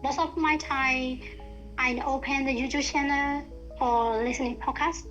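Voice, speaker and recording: Hesitant high-voiced Thai woman. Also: fairly even intonation, a little slow, fairly bright tone, muffled recording, soft background music. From Thailand. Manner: hesitant, searching for words with many fillers. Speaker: guest, university student. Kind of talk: casual language-practice chat.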